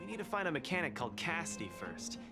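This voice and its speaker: An actor voicing a boisterous, eager young male fighting champion in a video game, with an excitable, cajoling delivery. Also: a little high, fast talker. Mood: cheerful, eager. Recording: loud music under the voice.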